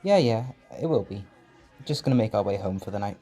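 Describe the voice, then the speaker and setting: A man playing a gentle, protective caretaker in a roleplay comfort audio, speaking in a soft, reassuring, tender way. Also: fairly low pitch, animated, fairly quick. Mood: friendly, casual. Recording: music and party chatter behind.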